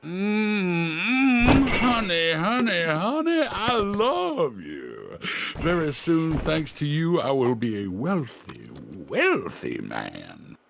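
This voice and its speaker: Greedy tycoon villain, gravelly creak, wildly swooping pitch, hammy, cartoon game, faint noise. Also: male voice, huge pitch swings, a bit bright, full character voice. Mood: gloating, lovestruck. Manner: hammy, drawn-out. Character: greedy, ranting human male villain tycoon. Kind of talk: animated video game villain acting.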